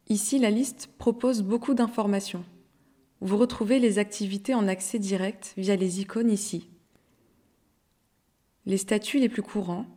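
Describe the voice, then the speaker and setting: A woman narrating a scripted software tutorial in an instructional, step-by-step way. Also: medium pitch.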